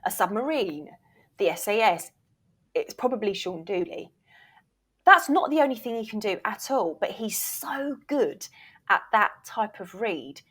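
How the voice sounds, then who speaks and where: A woman's voice: medium pitch, sing-song, measured pace, fairly bright tone, husky. Woman, industry expert being interviewed. Casual, encouraging advice delivered with emphasis. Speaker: interview guest, voiceover reel producer and former agent.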